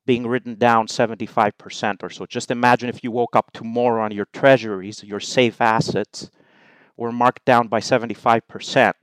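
A middle-aged man from Greece, a guest in an interview, explaining his views in measured, numbered points. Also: medium pitch, smooth, dry recording, poor audio. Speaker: investment allocator, guest. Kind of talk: interview answers.

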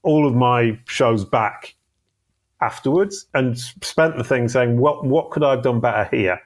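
A man's voice: mid-range voice, a little slow. A man speaking as a guest in a casual interview, self-deprecating and chatty, often restarting his sentences. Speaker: guest on a live video show.

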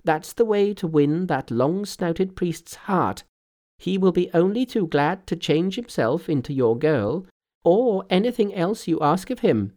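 A man acts out a cheeky monkey hero from a storybook, pitched well up and swooping with lively inflection. He is brash and bantering, fearless and very sure of himself. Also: a little slow. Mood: bold. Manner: brash, bantering, self-assured. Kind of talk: storybook character voice.

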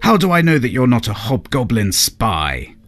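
A man's voice: lowish voice, animated, moderate pace, soft background music. A man voicing a shrewd, hot-tempered fairy queen who snaps and commands in a raised, imperious voice. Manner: sharp, imperious, snapping.

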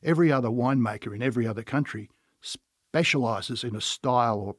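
A man with an Australian accent, a winemaker guest on a podcast, telling his story in a relaxed way with some restarts. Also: mid-range voice, brisk, no room sound, studio quality. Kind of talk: casual podcast interview.

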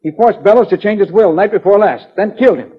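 A man's voice: sits high, lively intonation, fast, slightly rough. An actor playing a nervous, evasive front man for a shady business in a 1940s radio crime drama, courteous but guarded. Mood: desperate, protesting. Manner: guarded, defensive, outwardly courteous. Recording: tinny, noisy 1940s radio recording.

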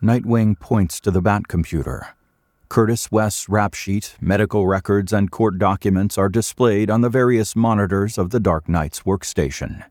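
A man's voice: on the low side, moderate pace, darkish tone, dry recording, clean studio sound. A man reading scripted third-person narration for an audio drama.

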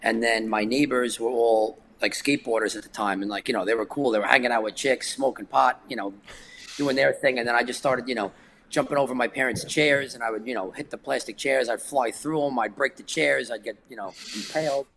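A man's voice: mid-range voice, fairly quick, creaky, a bit bright, a little hiss or hum, rough recording. Give me a New guy in his forties chatting loosely on a podcast, stumbling and restarting as he tells stories. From New York, United States. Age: 40s. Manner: rambling, filler-heavy storytelling. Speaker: guest skateboarder. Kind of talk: casual podcast chat.

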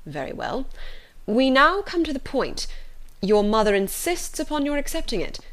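An unruffled older gentleman from a storybook, voiced by a woman narrator. He is dry and ironic, delivering his words in a measured, mock-solemn way. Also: high voice, sing-song, pitched above the performer's own voice. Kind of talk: audiobook character voice.

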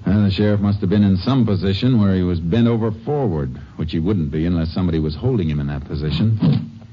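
Guy playing a steady texas ranger, low deliberate voice, faint hiss on an old radio tape. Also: dull audio, natural voice. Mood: calm, probing. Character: calm shrewd male Texas Ranger investigator. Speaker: lead actor. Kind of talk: 1950s radio drama acting.

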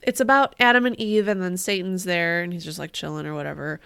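A woman's voice: fairly low pitch, fairly even intonation, fast, gravelly, fairly bright tone, smooth, dry recording, clean studio sound. A woman co-hosting a podcast, telling a story in a casual, joking, slangy and sweary way. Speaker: podcast co-host.

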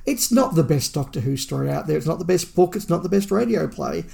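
A man's voice: fairly high pitch, lively intonation, brisk, creaky, dark tone. A man co-hosting a podcast, giving opinionated, emphatic views in a casual review discussion.

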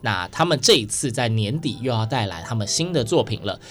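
A man's voice: mid-range voice, lively intonation, brisk, fairly bright tone. A man with a Taiwanese Mandarin accent hosting a radio interview in an informal, playful, chatty way. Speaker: radio show host. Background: music under the talk.

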